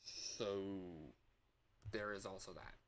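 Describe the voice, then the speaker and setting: A man chatting casually to livestream viewers in an excitable, scattered, playful way, with frequent exclamations. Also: sits low, takes it slowly, a little hiss or hum. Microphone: external microphone.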